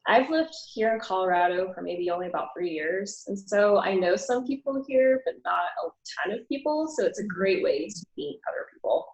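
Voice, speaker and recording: A woman speaks at an ordinary pitch in a soft, hesitant way. She fills her answers with pauses as she talks through an interview. The sound is roomy and echoing. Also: lively intonation. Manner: soft-spoken, hesitant with many fillers. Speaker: pageant contestant.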